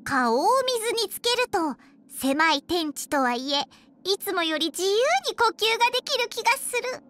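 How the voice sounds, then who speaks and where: A woman's voice: extremely high pitch, expressive, moderate pace, fairly bright tone. A woman voicing a small, proud, playful dragon girl healer for a video game, talking in an archaic, self-important old-timer's way with a child's voice. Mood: earnest, wistful. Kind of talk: acted video game character voice lines.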